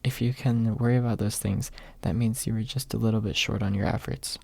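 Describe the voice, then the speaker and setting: A man voicing a haughty, blunt young maid in an audiobook, with a husky, gravelly voice and a dry, dismissive manner. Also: fairly low pitch, fairly even intonation, a little fast, darkish tone, dry recording. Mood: disapproving. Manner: dry, dismissive, refers to self in third person. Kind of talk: ASMR audiobook character reading.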